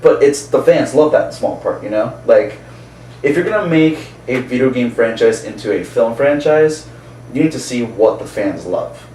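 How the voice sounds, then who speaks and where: A man's voice: medium pitch, animated, normal speed, mellow. Enthusiastic man chatting in a roomy space. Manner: enthusiastic, chuckling as they talk. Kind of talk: casual chat about movies. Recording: roomy, distant mic, faint background noise.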